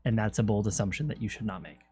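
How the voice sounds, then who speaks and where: A man's voice: on the low side, fast, a little mellow, slightly rough. A man from Canada streaming a game, chatting casually, joking and laughing often. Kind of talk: casual gameplay chat.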